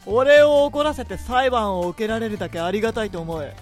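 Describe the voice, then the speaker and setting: A woman playing a gruff, short-tempered, bossy young man in a radio drama, speaking curtly and brusquely. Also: on the high side, lively intonation, brisk, loud music behind the voice, close to an everyday voice. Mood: angry. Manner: curt, brusque, commanding.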